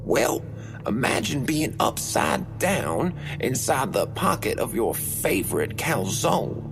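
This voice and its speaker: Really old breathy conspiracy grandpa, croaky, pitch wanders all over, music under it. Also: male voice, sing-song, exaggerated voice. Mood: calm explaining. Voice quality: breathy, creaky old-man voice. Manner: slow, drawn-out, conspiratorial. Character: extremely old eccentric male cult leader in hiding. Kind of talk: comedic audio story character.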